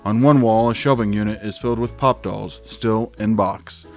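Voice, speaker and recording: An actor playing a clueless, quirky dad detective, narrating in an earnest, scene-setting way for a comic audio drama. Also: male voice, lowish voice, steady tone, moderate pace, natural voice. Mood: wry narration. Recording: faint music under the voice.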